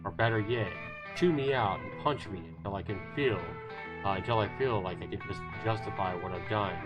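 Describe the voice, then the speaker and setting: A man's voice: fairly low pitch, fairly quick. A man reading visual novel narration aloud on a livestream, telling it dramatically with the occasional stumble. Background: guitar music.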